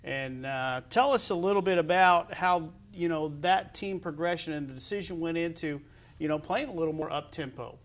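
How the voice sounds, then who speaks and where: Male sports interview host, somewhat high-pitched, conversational delivery. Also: normal speed.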